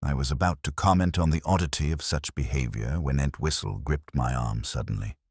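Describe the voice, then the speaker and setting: A man's voice: very deep voice, brisk, husky, no room sound, clean studio sound. A man reading scripted first-person detective fiction as an audiobook narrator.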